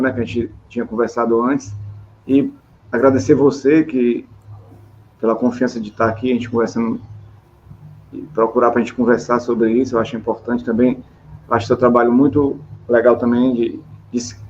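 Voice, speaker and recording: A middle-aged man with a Brazilian accent, hosting a casual rambling chat in a halting way with repeated words. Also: medium pitch, a little mellow. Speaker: interview host. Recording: dull, lo-fi, clear background noise.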